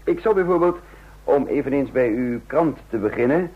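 An actor playing a shrewd, calculating undercover intelligence officer in a radio drama, speaking in a measured, formal, husky voice. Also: male voice, mid-range voice, lively intonation. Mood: urgent, persuasive. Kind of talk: radio drama acting and narration. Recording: dull lo-fi old radio recording.

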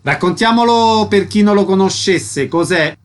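Guy doing radio interviews, slightly high voice, reads out intros and questions like they're prepared. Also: normal speed, a little hiss or hum.

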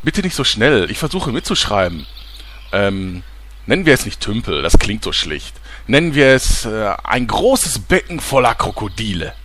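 A man's voice: lowish voice, sing-song, normal speed, fairly bright tone, slight background noise. A man playing an eager, self-important film maker in a comic audio drama, dictating in a pompous, theatrical way. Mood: fussy.

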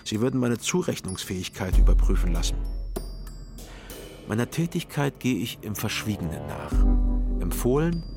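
A world-weary, secretive private detective tells his own story in a radio crime play. The voice is fairly low, dry and matter-of-fact, with clear music behind it. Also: big pitch swings, normal speed, long pauses. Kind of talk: first-person crime radio drama narration.

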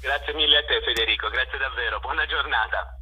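A man's voice: mid-range voice, fast, music in the background, rough recording. A man answering interview questions on the radio as a theatre director and actor, heard as if over a telephone.

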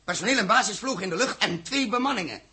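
Fiery space captain, indignant, accusatory, high-pitched and very animated, 1960s radio drama. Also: male voice, quick pace, vocal fry, husky, heavily acted. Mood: indignant, accusing. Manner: impassioned, accusatory, rhetorical.

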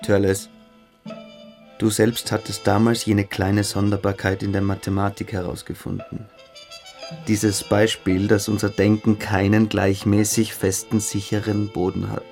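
A low, gravelly voice for a brooding schoolboy mystic in a radio drama, with music behind. He lectures intensely and pompously, a fanatic absorbed in his own philosophy. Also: level tone, unhurried, a little mellow. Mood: fervent lecturing. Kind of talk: radio play acting.

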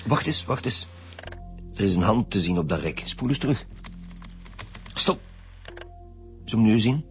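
Male chief inspector for radio drama: low register, no-nonsense, clipped orders, faint music underneath. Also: lively intonation, measured pace. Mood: terse, focused. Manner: direct, commanding, terse.

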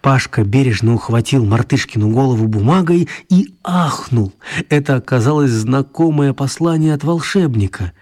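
Slightly husky male storyteller. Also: medium pitch, animated, normal speed, darkish tone. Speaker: narrator. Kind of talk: expressive scripted storytelling for children's radio play.

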